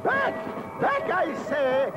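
A very high, wildly swooping cartoon villainess, a scheming mad scientist who draws out her commands theatrically. Loud music plays behind her on an old soundtrack. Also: big pitch swings, drawn-out words, lo-fi sound, exaggerated voice. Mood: frantic. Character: scheming, excitable female mad scientist doctor. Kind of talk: 1960s cartoon voice acting.

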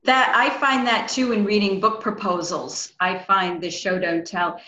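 A woman's voice: medium pitch, fairly even intonation, unhurried, bright, forceful tone. A middle-aged woman hosting a casual video interview in a chatty, informal way. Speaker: interview host. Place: small room at home, indoors. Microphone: computer mic on a video call. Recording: roomy, echoey home room.

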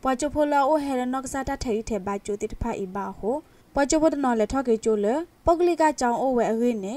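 A woman's voice: fairly high pitch, fairly quick, darkish tone. A woman radio news presenter reading scripted news.

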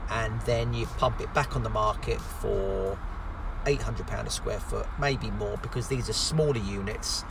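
A man's voice: fairly low pitch, unhurried. A man, a builder talking casually through his thoughts in a car vlog. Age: 50s. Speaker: construction company owner vlogging. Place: inside a car in traffic. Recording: clear car and road noise.

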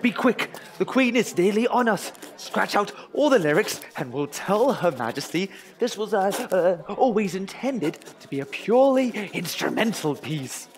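A man playing an ambitious, excitable court songwriter in a theatrical historical comedy audio drama. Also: high voice, normal speed, hardly any pauses, a little hiss or hum. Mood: hurried. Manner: theatrical, excitable, stammers in panic.

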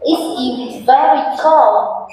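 Woman, Vietnamese accent, young teacher, slow patient prompting, headset mic, echoing classroom. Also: high voice, mellow, distant mic. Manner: patient, prompting, repeats questions. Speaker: English teacher examining students. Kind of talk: speaking test questions. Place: classroom, indoors. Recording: very echoey classroom, faint noise.

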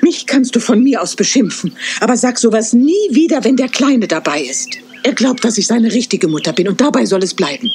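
A woman's voice: on the high side, animated, a little fast, hardly any pauses, darkish tone, a little hiss or hum. Protective mother cat. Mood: firm. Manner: protective, firm, tender. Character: caring motherly cat who raised a squirrel. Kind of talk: 1980s German cartoon dub.

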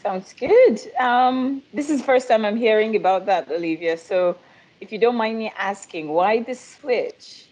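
A woman's voice: fairly high pitch, measured pace, a little mellow, poor audio. Nigerian-born woman chatting on a call. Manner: friendly, leads the questions. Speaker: production specialist. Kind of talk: casual video-call chat.

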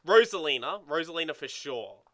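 A young man co-hosting a video game let's play in casual chat, excitable and sweary, often repeating words as he gets going. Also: a little high, normal speed.

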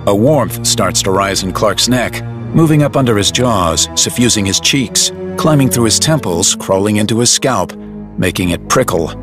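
A man's voice: lowish voice, expressive, moderate pace, over music. A man delivering scripted dramatic narration for an audio drama.

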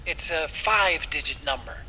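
A man's voice: fairly high pitch, expressive, normal speed, bright, forceful tone, a little hiss or hum, rough recording. An actor playing an earnest, anxious male tech expert, a caring sidekick in a video game cutscene, speaking expressively with some hesitancy. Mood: friendly.

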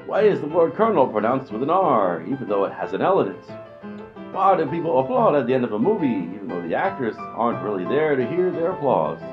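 A man working as announcer, reading scripted narration for a comedy serial in mock-dramatic style. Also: mid-range voice. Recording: dull lo-fi audio, music behind.

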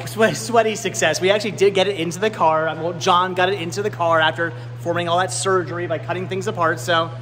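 A man in his thirties hosting a casual vlog, chatting enthusiastically and rambling with halting, repeated words. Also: sits high, steady tone, fairly bright tone, faint music behind. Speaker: vlog host. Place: large indoor flea market and warehouse loading area. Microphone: handheld camera at arm's length.

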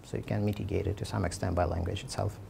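A man's voice: deep voice, fairly even intonation, fast talker, gravelly, noisy background. A man in his thirties giving a conference talk with slides, speaking casually with many fillers and restarts. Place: meetup room, indoors.

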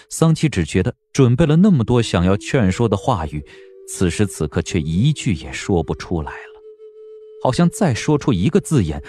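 A man narrating a period audio drama in a measured, literary storytelling style. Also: fairly low pitch, mellow.

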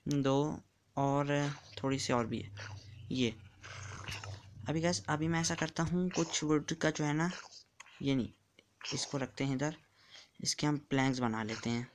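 A man's voice: fairly high pitch, slight background noise. A man giving casual commentary while playing a block-building game, chatty and counting blocks aloud as he builds. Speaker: gamer.

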